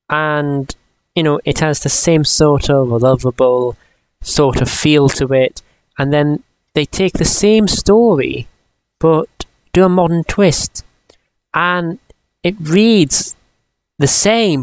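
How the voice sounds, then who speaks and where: A man of twenty-one giving a casual, chatty comics review for a vlog, rambling and often halting mid-sentence. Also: on the high side, no room sound, rough recording. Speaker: vlogger.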